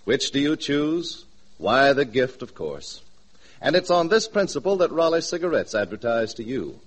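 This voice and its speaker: A man's voice: mid-range voice, sing-song, normal speed, slightly rough. A man announcing on 1940s radio, reading an advertisement in a polished, emphatic manner.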